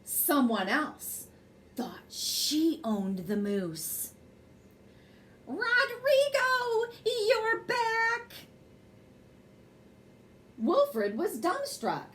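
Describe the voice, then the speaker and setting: A woman reading a children's picture book aloud as a bedtime story, in an expressive, measured way. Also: high-pitched, a little hiss or hum.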